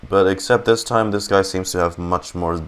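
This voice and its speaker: A man's voice: sits low, steady tone, moderate pace, slight background noise. A man giving casual, playful, exclamatory reaction commentary as he streams a game. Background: game music.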